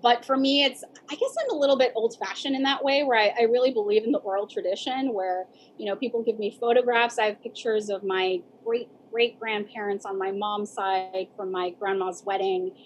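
A woman's voice: mid-range voice, steady tone, a little fast, slight background noise, low-quality recording. A woman with an American accent reflecting casually on her own life as an interviewee, often saying "like" and "you know". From San Diego border region, United States. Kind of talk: reflective casual personal storytelling.

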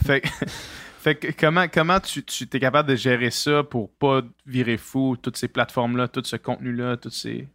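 A man's voice: medium pitch, steady tone, moderate pace, fairly bright tone, no room sound. A man with a Québécois accent hosting a podcast, asking questions in a hesitant way, with lots of fillers and restarts. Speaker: podcast host. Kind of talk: casual interview.

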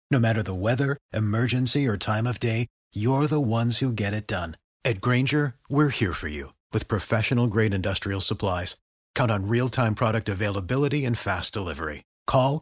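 A man reading a scripted commercial in a steady, earnest, polished voice-over. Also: medium pitch, no echo, studio quality. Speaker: ad announcer.

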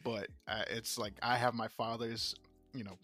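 Relaxed man co-hosting a podcast. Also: medium pitch, moderate pace, faint music behind. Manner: relaxed, laughs often, short agreeing replies. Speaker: podcast co-host. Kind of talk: casual podcast chat.

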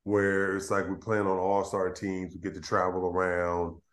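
A man hosting a sports interview podcast, talking in a relaxed, slangy way with fillers and restarts. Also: deep voice, normal speed. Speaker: podcast host. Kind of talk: casual interview chat.

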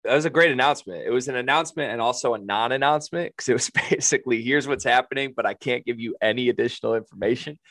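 A man co-hosting a casual podcast, upbeat and joking, with a raspy voice. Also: medium pitch, fast, hardly any pauses, fairly bright tone, no room sound. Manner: upbeat, joking, plugs upcoming episodes. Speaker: podcast co-host.

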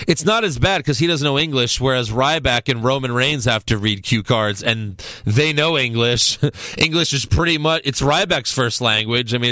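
A man's voice: mid-range voice, pushed and bright, no room sound. A man co-hosting a podcast, recapping a wrestling show in casual, sarcastic chat. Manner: laid-back, sarcastic, lots of fillers.

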